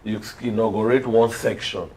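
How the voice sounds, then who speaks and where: A man's voice: lowish voice, fairly even intonation, moderate pace, darkish tone. A man with a Nigerian accent speaking as a panel guest on politics, sardonic and full of pointed questions. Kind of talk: political discussion.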